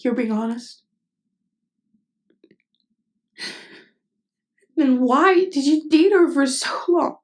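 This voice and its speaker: A woman acting a tender, lovestruck best friend in an intimate ASMR roleplay, speaking in a halting, earnest, vulnerable way. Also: sits high, lively intonation. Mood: earnest, pleading. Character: tender, lovestruck female best friend confessing her feelings. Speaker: voice actress.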